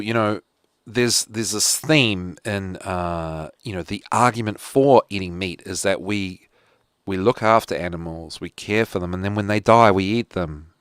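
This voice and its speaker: A man's voice: low voice, a bit bright, no echo, studio quality. A man with a New Zealand accent hosting a casual solo talk show, rambling with lots of fillers and restarts. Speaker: podcast host.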